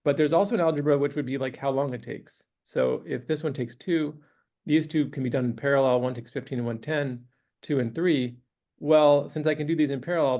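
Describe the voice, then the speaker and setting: A man giving a relaxed university lecture, thinking aloud with many pauses, clearing his throat as he loses his voice. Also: mid-range voice. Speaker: guest lecturer. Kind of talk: academic lecture with questions. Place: university classroom.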